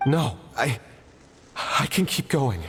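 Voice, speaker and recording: A man's voice: mid-range voice, big pitch swings, a bit bright, soft background music, heavily acted. A man voicing a loyal, anxious young man in a game who speaks hesitantly, stumbling over his words. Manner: hesitant, halting, pleading. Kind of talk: voiced game dialogue.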